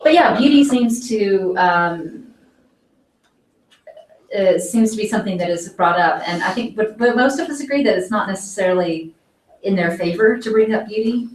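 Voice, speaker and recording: A woman's voice: medium pitch, lively intonation, normal speed, slight background noise. A woman teaching an art history class, leading a discussion and thinking aloud with frequent restarts. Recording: echoey classroom, distant mic.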